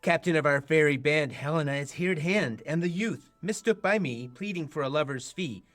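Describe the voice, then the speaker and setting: A man's voice: on the high side, normal speed, a bit bright, no echo, faint music behind, natural voice. A teenage man playing an eager, mischievous fairy servant in an amateur Shakespeare radio play, speaking verse playfully. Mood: gleeful, eager. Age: late teens.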